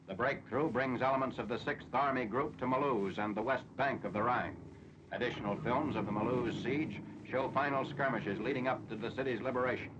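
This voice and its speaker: A man's voice: medium pitch, fairly quick, noisy background. An American man narrating a 1940s military newsreel in a formal, matter-of-fact way. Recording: dull, lo-fi 1940s film soundtrack.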